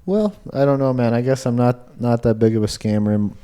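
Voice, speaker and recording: A man's voice: mid-range voice, animated, fairly quick, dark tone, clean tone. A man co-hosting a podcast, chatting in a casual, informal and laid-back way. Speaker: podcast co-host. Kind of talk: casual chat.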